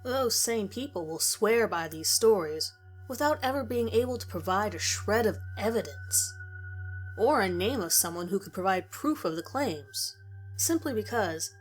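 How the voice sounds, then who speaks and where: A woman's voice: mid-range voice, animated, moderate pace, low-quality recording. Woman narrating a horror story over music. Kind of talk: scripted first-person story narration. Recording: music under the voice. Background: background music.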